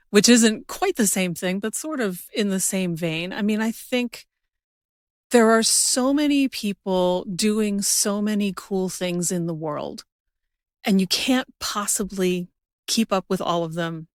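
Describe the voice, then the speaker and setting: A woman's voice: medium pitch, no room sound, studio quality. A woman hosting a podcast in casual conversation, informal and chatty as she thinks aloud. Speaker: podcast host.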